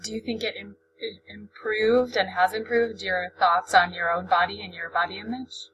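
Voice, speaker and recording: A woman interviewer asking brief, gentle questions. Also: medium pitch, fairly even intonation, moderate pace, highs cut off, a little hiss or hum. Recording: interview with music behind.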